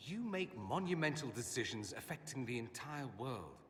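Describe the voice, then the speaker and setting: A bright, forward male voice as a theatrical mage in a fantasy game. He is wry and polished, an idealist who longs for his homeland. The voice rings with hall-like reverb. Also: medium pitch, big pitch swings, moderate pace, a little hiss or hum. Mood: tender, firm. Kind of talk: dramatic game dialogue.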